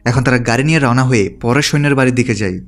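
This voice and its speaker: A man's voice: mid-range voice, racing. A man delivering scripted narration as the narrator of a movie recap. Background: background music.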